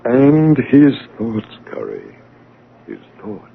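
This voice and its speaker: An actor playing a solemn, all-knowing guide from the afterlife in an old-time radio drama, with a measured, portentous delivery. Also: male voice, medium pitch, wildly swooping pitch, gravelly, narrow, tinny audio, noisy background, exaggerated voice. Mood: solemn, probing. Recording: band-limited radio drama recording.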